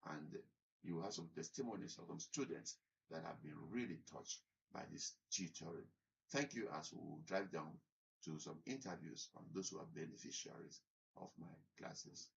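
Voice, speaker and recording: A man with a Cameroonian accent giving a prepared motivational talk as a career coach, in deliberate, emphatic phrases. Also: sits low, creaky, highs cut off. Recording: distant mic with background noise.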